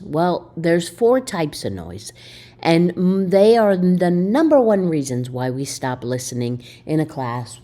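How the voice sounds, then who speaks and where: Animated low-voiced woman lecturing. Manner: animated, emphatic, asks the listener questions. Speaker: college instructor. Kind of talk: recorded video lecture.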